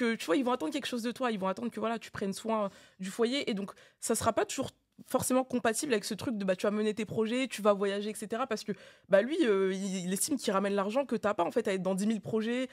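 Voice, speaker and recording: A woman in her twenties speaks very quickly at an ordinary pitch. She is a conversational panel guest, explaining and qualifying her points in a casual debate. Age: 20s.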